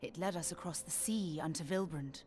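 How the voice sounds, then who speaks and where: An actress playing a composed, shrewd investigator in a fantasy video game, speaking formally in measured, old-fashioned phrasing. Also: female voice, low voice, darkish tone, a little hiss or hum, close to an everyday voice. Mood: assured, matter-of-fact. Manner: formal, measured, archaic diction. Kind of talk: dramatic video game voice acting.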